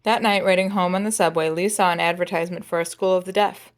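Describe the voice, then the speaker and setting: A woman's voice: on the low side, steady tone, fast talker. A woman reading a picture book aloud as a storyteller, with playful, dramatic phrasing and jazzy sound effects.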